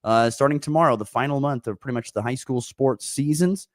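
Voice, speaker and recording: Hoarse upbeat male sports radio host. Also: mid-range voice, fairly quick, no room sound, studio quality. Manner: upbeat, rambling with many uh fillers. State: hoarse, slight sore throat. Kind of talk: morning sports talk show.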